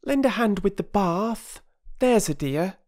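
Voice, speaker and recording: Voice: mid-range voice, lively intonation, unhurried, a little mellow, voice pushed up, close to an everyday voice. A narrator voicing an eager, chirpy young girl in a children's storybook. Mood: playful, bossy.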